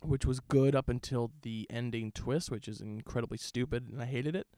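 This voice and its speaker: A man's voice: medium pitch, normal speed, dark tone, no echo. A young adult man in casual, bantering conversation as a podcast co-host. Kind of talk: casual banter and film chat.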